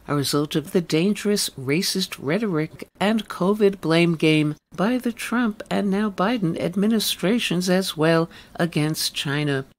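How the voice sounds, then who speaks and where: Radio host reading a scripted introduction. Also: mid-range voice.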